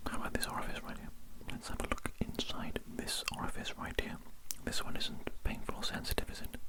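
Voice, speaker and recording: An ASMR performer whispering without voice as a polite, curious, fussy doctor, repeating phrases in a murmuring roleplay. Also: brisk. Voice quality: breathy unvoiced whisper. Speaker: ASMR performer role-playing a doctor examining a patient.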